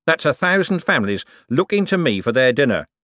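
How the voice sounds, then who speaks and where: A man voicing a gruff, rich businessman, who talks slowly and boastfully. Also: medium pitch, sing-song, a bit bright, dry recording, studio quality, pitched above the performer's own voice. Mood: indignant. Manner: boastful, blunt, slow and emphatic. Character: gruff rich working-class male businessman.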